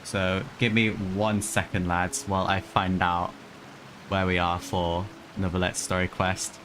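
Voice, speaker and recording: A man's voice: low-pitched, little pitch movement, measured pace, a bit bright, slight background noise. A man doing casual livestream game commentary, hesitant, thinking aloud and repeating himself. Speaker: game streamer. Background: game music.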